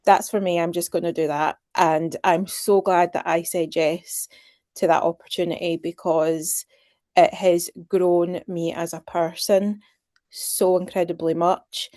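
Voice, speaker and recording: Mellow Scottish woman, chatty. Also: fairly low pitch, little pitch movement, a little slow, no echo, clean studio sound. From Scotland. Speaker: interview guest. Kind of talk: casual interview.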